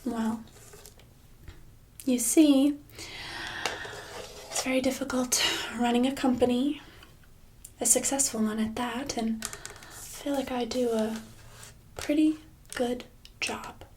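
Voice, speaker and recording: A woman playing a smug, controlling boss in a soft-spoken ASMR roleplay, with condescending, mock-sweet, drawn-out delivery. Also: fairly high pitch, fairly even intonation, a little hiss or hum. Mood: smug, menacing. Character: smug, controlling female boss holding an employee captive.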